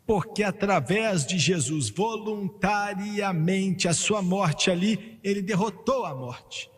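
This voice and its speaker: Man preaching, high voice, emphatic, long hall reverb. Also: faint music behind. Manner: emphatic preaching, slows and rises on key phrases, jokes with congregation. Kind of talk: sermon.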